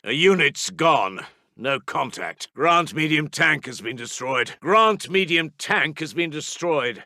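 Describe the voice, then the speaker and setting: A man's voice: mid-range voice, sharp tone, no room sound, clean studio sound, exaggerated voice. A man playing a grim British Second World War army intelligence officer in a strategy game, reporting in a clipped, urgent military manner with a British accent. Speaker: game voice actor.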